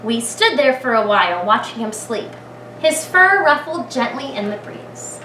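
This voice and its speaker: High, animated woman reading a children's story. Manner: animated, dramatic pauses, thinking aloud. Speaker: kindergarten teacher reading a picture book aloud. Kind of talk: expressive children's story read-aloud with questions to listeners. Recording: echoey room, distant mic, clear background noise.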